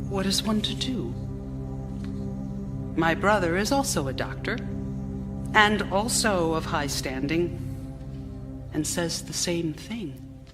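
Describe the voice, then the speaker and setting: An actress playing a troubled, intelligent Victorian wife in a radio drama, speaking formally and theatrically in an anxious, confiding way. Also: female voice, lowish voice. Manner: formal, measured, dramatic first-person narration. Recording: live theater recording with music. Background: music.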